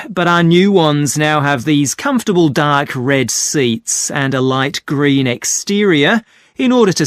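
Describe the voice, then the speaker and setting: Cheerful, higher-pitched male tour guide. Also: a bit bright, no echo, studio quality. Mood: cheerful, welcoming. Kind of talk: scripted exam listening talk.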